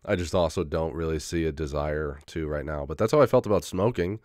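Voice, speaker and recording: A young man with a very low, smooth and resonant voice talks in a loose, rambling way, stopping and restarting often and swearing casually. He is getting over a head cold and coughs now and then. The sound is dry and close, with no room around it. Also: fairly quick, a little mellow, no echo. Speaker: vlogger.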